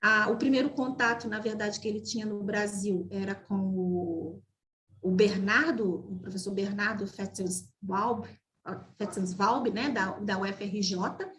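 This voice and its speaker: A woman's voice: medium pitch, fairly even intonation, measured pace, a bit bright. A woman from Brazil giving an online mathematics lecture over a video call, talking with many fillers, repeated words and restarts. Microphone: video call microphone.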